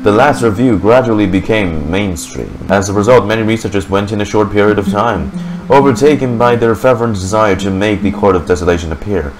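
A man's voice: fairly low pitch, fairly quick, background noise. A young man streaming a game, reading its text aloud and reacting casually into a close microphone. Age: young adult. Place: indoor room. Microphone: desk microphone on boom arm, close. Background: game music.

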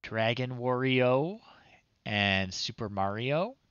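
Slow-paced man thinking aloud, lively pitch. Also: clean tone, no room sound, lo-fi sound. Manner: thinking aloud, muttering in short phrases. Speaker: livestream host. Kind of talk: casual livestream commentary while trying old software. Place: small room indoors at home.

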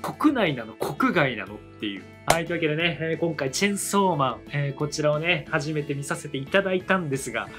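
A man's voice: a little high, lively intonation, fairly quick, few pauses, music in the background. A man in his thirties hosting an anime reaction video, musing aloud with short reactions and laughs in a small indoor studio. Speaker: anime reaction channel host. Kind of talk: casual reaction commentary.

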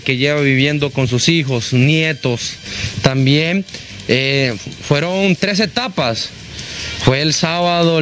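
A man's voice: on the high side, fairly bright tone. A young man with a Honduran accent reporting live from the field and interviewing, speaking into a handheld microphone through a face mask. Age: young adult. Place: outdoors at a house in a neighborhood.

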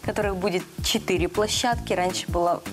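A woman in her thirties explaining things as a guest in a studio interview, in a halting, pause-filled delivery. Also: fairly low pitch, steady tone, music in the background. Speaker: guest, animation festival organizer. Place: TV studio, seated. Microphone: lapel mic.

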